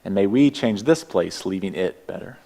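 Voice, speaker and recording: A man with a Texas accent leading a church service, welcoming people and giving opening words in a gentle, unhurried way. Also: fairly low pitch, lively intonation, gravelly. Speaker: worship leader. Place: church sanctuary.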